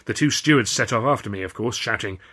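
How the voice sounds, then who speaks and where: A man reading his own comic novel aloud as a first-person storyteller, in animated audiobook narration. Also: on the low side, fast.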